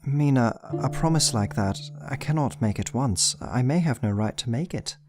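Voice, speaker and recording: A man's voice: mid-range voice, lively intonation, normal speed, over music, close to an everyday voice. An actor playing a troubled, devoted young husband, narrating his diary solemnly in a radio play. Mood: hesitant. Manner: solemn, brooding, reflective.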